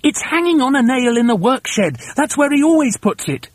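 Storybook father monkey: firm, urgent family leader, high and lively, slightly husky, played by a man. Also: measured pace, no echo, exaggerated voice. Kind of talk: storybook character voice.

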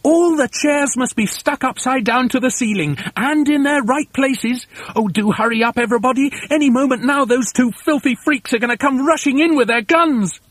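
A bossy father monkey, barks orders in a high, swooping voice. He is impatient and wildly excitable, with a rough edge to the sound, like a storybook leader in a frenzy. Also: measured pace, few pauses, dry recording, heavily acted. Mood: excited, urgent. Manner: shouting orders, exclamatory, impatient.

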